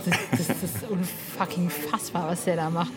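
A woman's voice: fairly low pitch, steady tone, a little slow, crowd noise. A woman hosting a casual podcast interview, speaking informally and with emphasis.

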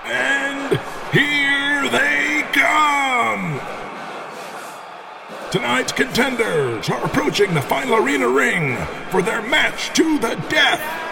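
A man's voice: very high voice, animated, vocal fry, shouting, a crowd in the background, noisy background, full character voice. A man playing a flamboyant, bombastic ring announcer in an audio drama, drawing out his words like a showman. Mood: bombastic showmanship. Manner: bombastic, drawn-out showman delivery. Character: flamboyant male arena ring announcer at a deathmatch.

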